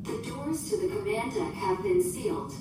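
A woman playing a literal, formal and emotionless ship computer in a science fiction audio drama, speaking in measured, precise official phrases. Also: fairly high pitch, animated, natural voice. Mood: flat, compliant. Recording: echoing, distant, noisy ship ambience.

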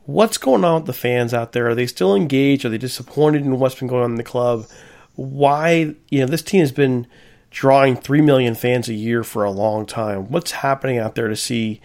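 Man, podcast host, casual, chatty, rambling questions, mid pitch. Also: normal speed, creaky, a little mellow. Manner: conversational, asks long rambling questions.

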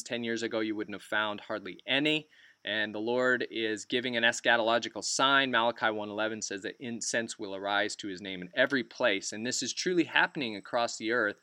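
A man's voice: medium pitch, bright, forceful tone, clear, resonant tone, no room sound. A man hosting a podcast interview, enthusiastic and leaning on "uh" fillers. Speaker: podcast host.

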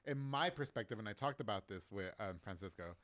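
Guy in his 30s hosting a podcast, talks fast, brash n jokey, swears a lot, dry close mic. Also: on the low side, animated, fairly bright tone, dry recording. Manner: brash, profane, joking. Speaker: podcast host. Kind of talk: casual chat, interviewing a guest. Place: small room, indoors. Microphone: close studio podcast mic.

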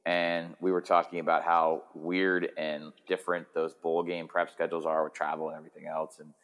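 Man, low pitch, hoarse, hungover, casual podcast chat, rambling, sardonic. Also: little pitch movement, fairly quick, a bit bright. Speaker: sports podcast host.

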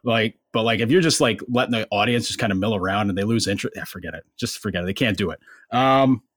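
A man with a bass voice, a guest on a podcast, telling stories in a casual, self-deprecating way. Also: quick pace, fairly bright tone, no echo, studio quality. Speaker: podcast guest, sports writer.

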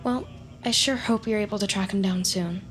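A woman's voice: mid-range voice, normal speed, lightly acted. A woman playing a nervous, chatty, awkward neighbor in an audio drama, hesitant and rambling in an earnest way. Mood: nervously chatty.

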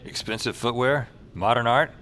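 A man's voice: mid-range voice, normal speed, bright, forceful tone, lightly acted. An actor playing a weary, wry male emergency call-taker who narrates his troubles in a casual way in an audio drama. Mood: wry, downbeat. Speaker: lead character and narrator. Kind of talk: noir-like first-person audio drama narration and dialogue.

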